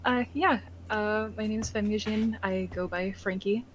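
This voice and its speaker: A woman's voice: medium pitch, fairly even intonation, normal speed, slight background noise, rough recording. A woman, a guest voice actor, chatting informally and enthusiastically in a casual outro.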